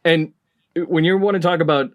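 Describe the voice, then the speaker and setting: A man's voice: on the high side, fairly even intonation, normal speed, dry recording. A man chatting casually as a podcast interviewer.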